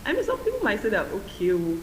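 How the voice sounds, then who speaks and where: A woman's voice: mid-range voice, huge pitch swings, quick pace. A woman speaking earnestly at a conference panel, explaining her point with many fillers. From Nigeria. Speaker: community respondent. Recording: echoey hall with hiss.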